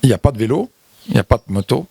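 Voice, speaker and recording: A man from Europe giving unscripted interview answers as a guest, haltingly, often repeating words and phrases. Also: medium pitch, sing-song, gravelly, dark tone, breathy edge. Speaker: interview guest, visitor to Burundi.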